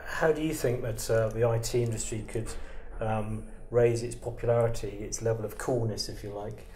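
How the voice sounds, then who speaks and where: A man's voice: on the low side, steady tone, normal speed, darkish tone, roomy sound, slight background noise. A man interviewing a guest, building long questions full of "um" and "I mean". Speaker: interviewer, editor for an IT institute.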